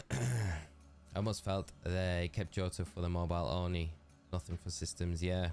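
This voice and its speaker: A man's voice: sits low, fairly even intonation, measured pace, a little mellow, soft background music. A man with a Geordie accent chatting casually and rambling on a live game stream, talking to his chat.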